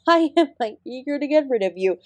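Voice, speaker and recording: A woman's voice: high voice, animated, brisk, darkish tone, no echo. A woman playing an ancient celestial dance teacher in an audio roleplay, sarcastic and exasperated, speaking with sardonic, sweary emphasis. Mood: scornful. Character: ancient female apsara dance guru, sarcastic, exasperated, fiercely independent. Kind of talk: scripted audio roleplay addressed to the listener.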